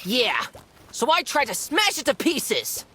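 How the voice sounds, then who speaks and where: A woman's voice: high voice, wildly swooping pitch, unhurried, a bit bright, slight background noise, exaggerated voice. A woman voicing a devoted, hot-tempered young male imaginary-friend creature in a video game, earnest and emotive. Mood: sheepish recounting. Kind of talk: animated video game dialogue.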